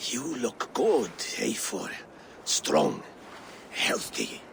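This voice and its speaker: An actor playing an aging, warm and proud Norse war leader in a video game, gravelly and given to grand, heartfelt speeches. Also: male voice, medium pitch, wildly swooping pitch, very slow, a little hiss or hum, heavily acted. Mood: warm, weary. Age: older. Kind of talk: dramatic video game acting.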